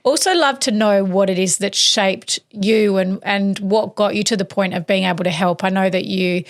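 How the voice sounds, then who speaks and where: A woman's voice: mid-range voice, fairly even intonation, moderate pace. A woman hosting a podcast, talking in a casual, informal interview style and repeating words for emphasis. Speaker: podcast host.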